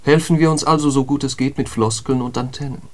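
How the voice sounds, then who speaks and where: A man's voice: mid-range voice, steady tone, quick pace, darkish tone, close to an everyday voice. An actor as a cynical, philosophizing intellectual man. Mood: sardonic, resigned. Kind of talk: literary radio drama dialogue.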